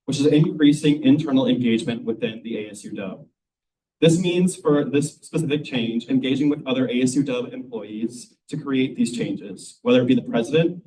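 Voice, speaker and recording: A man's voice: medium pitch, dark tone. A young man from Michigan, a first-year student, giving an eager, formal campaign speech at a student forum. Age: young adult. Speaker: student election candidate. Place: room at a public forum. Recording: voice from far off the mic.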